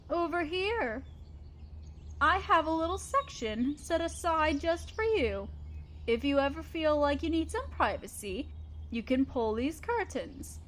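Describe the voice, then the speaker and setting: A gentle spider queen, acted by a woman with a fairly high voice. She is loving and thoughtful, explaining things tenderly and patiently, as a mother would to an adopted child in an audio roleplay. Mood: excited, affectionate. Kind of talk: scripted audio roleplay, addressing the listener.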